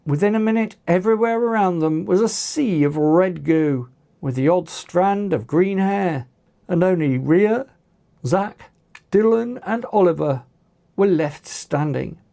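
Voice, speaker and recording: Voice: medium pitch, expressive, unhurried, gravelly, dark tone. An author reading a novel aloud as scripted, dramatic audiobook narration.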